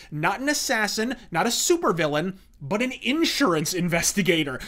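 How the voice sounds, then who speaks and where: Bright, forceful, brash male reviewer. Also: very high voice. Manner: emphatic, verbose and theatrical delivery; profane, brash. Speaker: host of a comics and pulp review series. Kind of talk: scripted opinionated review commentary.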